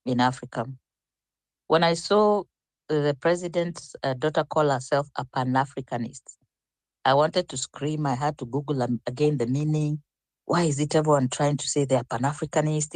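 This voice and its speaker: A woman's voice: low-pitched, a little mellow, dry recording. An older woman speaking as a panelist in an online discussion, reflective and rambling with frequent restarts.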